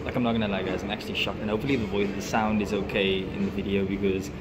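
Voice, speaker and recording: A young man chatting excitedly to his phone camera in a cafe, with lots of fillers like "like" and "guys". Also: on the low side, racing, heavy background noise. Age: young adult. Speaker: vlogger reviewing a cafe. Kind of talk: casual vlog. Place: indoor cafe with classic cars. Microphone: phone camera at arm's length, no lapel mic. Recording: phone audio with loud background music. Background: loud music playing in the cafe.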